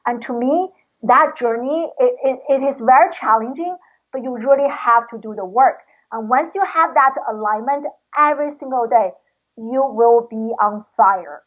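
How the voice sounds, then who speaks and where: A woman's voice: a little high, moderate pace. A woman, a guest talking earnestly and with passion. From China. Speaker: guest, educator. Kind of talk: casual interview talk. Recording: tinny phone line.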